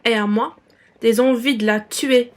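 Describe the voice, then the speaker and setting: A woman playing a haughty, spiteful bourgeois wife with a scornful, sharp-tongued manner in a French radio play. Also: on the high side, steady tone, unhurried, slight background noise, natural voice.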